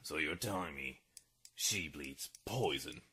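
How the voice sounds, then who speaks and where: An actor playing a blunt, skeptical male board reviewer in a horror audio drama, with a raspy voice. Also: very deep voice, takes it slowly, exaggerated voice. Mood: skeptical, irritated. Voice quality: gravelly, raspy. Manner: blunt, sharp.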